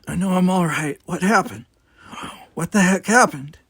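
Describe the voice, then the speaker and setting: Male reader as young female cadet, dazed, spirited, exasperated, raised pitch, dry sound. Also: moderate pace, a bit bright, husky, no room sound, full character voice. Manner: breathless, exasperated.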